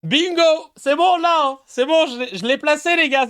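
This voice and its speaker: A man's voice: extremely high pitch, moderate pace, a bit bright, some chatter behind. A man hosting a casual live show, reacting to clips with laughter, swearing and mocking asides. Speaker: streamer host reacting to clips.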